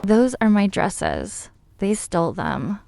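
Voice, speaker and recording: A female text-to-speech style voice of middle pitch reads short chat messages. It moves slowly, with theatrical, widely varied intonation. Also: huge pitch swings, gravelly, darkish tone. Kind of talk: read-out stream chat messages.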